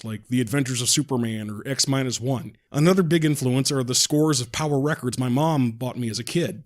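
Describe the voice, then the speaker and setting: Jokey man hosting a casual podcast. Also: medium pitch. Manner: jokey, self-deprecating, hesitant with false starts. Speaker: podcast host and audio drama creator. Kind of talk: casual behind-the-scenes podcast chat.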